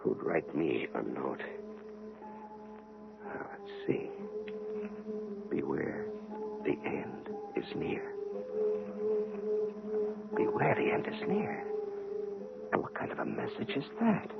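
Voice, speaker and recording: An actor playing a formal, persistent government inspector in an old-time radio drama. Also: male voice, sits low, huge pitch swings, slow pace, creaky, pauses often, over loud music, full character voice. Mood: puzzled, uneasy. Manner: formal, officious. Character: earnest, thorough male government inspector, prim and persistent. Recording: narrow, tinny vintage radio sound.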